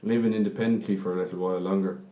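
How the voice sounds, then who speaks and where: A man's voice: low voice, fairly even intonation, rapid-fire, phone-quality sound, close to an everyday voice. A man playing a weary, caring Irishman in naturalistic radio drama, speaking plainly and reflectively, with some hesitation, in a roomy echo. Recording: roomy, echoing sound.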